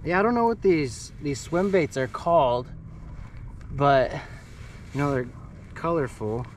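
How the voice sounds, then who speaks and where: Upbeat man narrating his fishing with a groggy voice, pitched a bit high, steady outdoor noise behind. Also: a little slow. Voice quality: groggy morning voice. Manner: relaxed, upbeat, chatty. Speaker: fishing vlogger. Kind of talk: casual running commentary while fishing. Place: outdoors on a kayak at sea.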